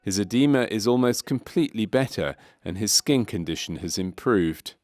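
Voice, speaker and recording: Male narrator, lowish pitch, measured clinical delivery, scripted medical documentary. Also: animated, darkish tone, no echo. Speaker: narrator of a medical training film.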